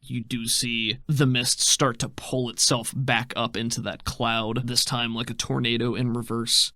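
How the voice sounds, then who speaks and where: Guy game master telling the story, descriptive and dramatic, medium pitch, dry close sound. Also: level tone, a little slow, sharp tone, no room sound. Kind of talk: narrating a tabletop game.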